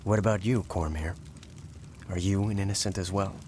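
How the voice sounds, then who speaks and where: Deep, steady heroic champion played by a man. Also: normal speed, a little hiss or hum, close to an everyday voice. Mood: concerned. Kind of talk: dramatic video game voice acting. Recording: loud music behind. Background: game score music.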